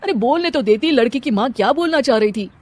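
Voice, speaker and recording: A sensible middle-aged family elder played by a woman, fairly high in pitch and closely recorded without echo. The delivery is cautioning and matter-of-fact, in cartoon drama style. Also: lively intonation, fast, darkish tone. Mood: exasperated.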